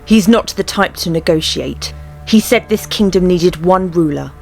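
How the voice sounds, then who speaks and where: Woman acting a proud young warrior queen, lowish voice, firm, solemn, fantasy fandub, faint music underneath. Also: moderate pace, creaky, darkish tone. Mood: cold resolve.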